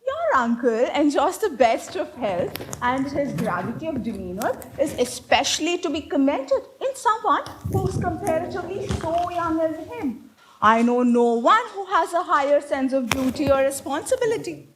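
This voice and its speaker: Woman playing Victorian governess, high-pitched, very expressive intonation, stern, pompous, moralizing, stage comedy delivery. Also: normal speed, crowd noise, rough recording. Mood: stern, instructing. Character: strict, prim Victorian governess, fond of moralizing. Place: live stage play.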